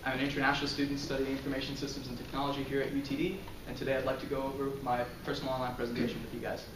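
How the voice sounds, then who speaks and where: A man's voice: mid-range voice, flat delivery, very fast, background noise. Young man presenting in an echoey classroom. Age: young adult. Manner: casual, filler-heavy, chuckles often. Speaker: student presenter. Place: lecture room, in front of a projector screen. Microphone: far camera. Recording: roomy, distant camera audio.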